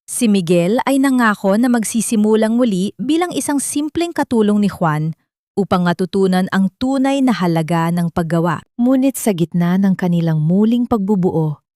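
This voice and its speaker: A woman narrates a scripted drama. Her delivery is measured and sentimental, with a literary turn. The pitch sits in a middle range for a woman, and the recording is dry and close. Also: expressive, warm tone, no echo. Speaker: narrator of audio love stories.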